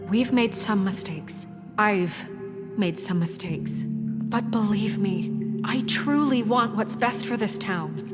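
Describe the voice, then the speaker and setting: An actress playing an earnest, composed deputy mayor in a video game, speaking formally and sincerely. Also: female voice, mid-range voice, animated, slow pace. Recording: echoing hall, loud music behind.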